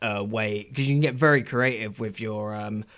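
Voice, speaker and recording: A man chatting casually as co-host of a gaming podcast, often pausing to search for his words. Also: fairly low pitch, steady tone, fairly bright tone.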